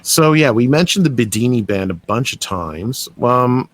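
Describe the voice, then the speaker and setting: A man's voice: mid-range voice, expressive, moderate pace. A young Canadian man hosting a podcast, eager and rambling, with lots of restarts as he talks. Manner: enthusiastic, rambling, many restarts. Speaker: podcast host. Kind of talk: casual interview chat.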